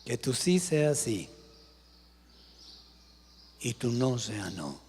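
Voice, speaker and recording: Pastor man preaching, drops loud to soft, acts scenes out, echoey church. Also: mid-range voice, big pitch swings, drawn-out words, a little hiss or hum. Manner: dramatic preaching, swinging from loud to hushed, acting out scenes. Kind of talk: sermon. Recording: live church sermon with long reverb.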